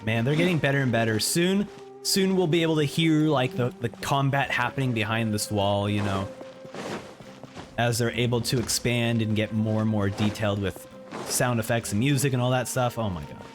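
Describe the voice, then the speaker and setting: A man's voice: medium pitch, normal speed, over music. A man giving casual, effusive live reaction commentary as a gaming streamer. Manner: enthusiastic, effusive.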